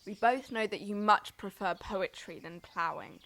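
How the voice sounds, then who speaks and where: A headstrong young daughter in an audio play, acted by a woman, with a bright, forceful voice at medium pitch. She is insistent and sarcastic, pushing back against her family's rules. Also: normal speed, a little hiss or hum, lightly acted. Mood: defiant. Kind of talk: audio drama acting.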